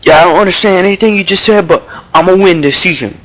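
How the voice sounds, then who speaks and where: Guy doing a dopey young ballplayer, cocky and slangy, bit higher voice, um-ing a lot. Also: fast, a bit bright, low-quality recording. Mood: cocky, rambling. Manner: rambling, stalling with fillers, slangy. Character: dim-witted young male baseball prospect, deli-obsessed, Bronx swagger. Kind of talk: improvised mock interview.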